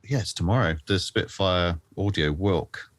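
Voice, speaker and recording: Very deep-voiced male host, dry sound. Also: measured pace, no room sound. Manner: friendly, easygoing questioner. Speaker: interview host, mixing engineer. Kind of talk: casual interview chat.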